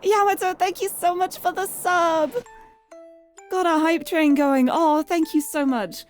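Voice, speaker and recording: British girl streamer w a high voice, chatting n reading out a game, music in the background. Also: steady tone, measured pace. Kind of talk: live game stream chat and read-aloud narration.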